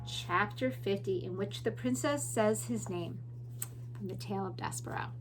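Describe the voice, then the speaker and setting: A woman teacher giving class announcements and reading a story aloud with deliberate, expressive emphasis. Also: medium pitch, soft background music, rough recording. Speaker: elementary school teacher.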